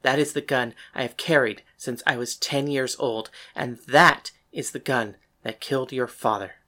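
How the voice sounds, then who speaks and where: A woman's voice: extremely low pitch, steady tone, normal speed, pushed and bright. A woman playing a fierce, commanding female gunslinger leader in a dramatized western audiobook, protective and defiant, with emphatic challenges. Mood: grave.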